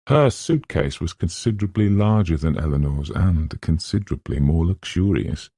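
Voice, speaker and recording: A male audiobook narrator reads literary prose. His voice is low and dark with a husky grain. The recording is dry, with no sense of a room. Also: expressive, normal speed, no echo.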